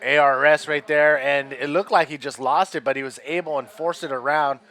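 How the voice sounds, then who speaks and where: Male color commentator, bright and crisp tone, energetic calls. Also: fairly high pitch. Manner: upbeat, quick to laugh, excited calls. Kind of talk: live sports commentary.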